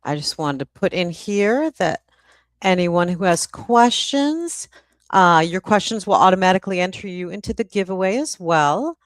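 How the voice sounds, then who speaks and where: A woman's voice: lowish voice, lively intonation, moderate pace, dry recording. A woman hosting a live stream in a casual, slightly hesitant way, repeating words as she thinks. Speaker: host of live book event.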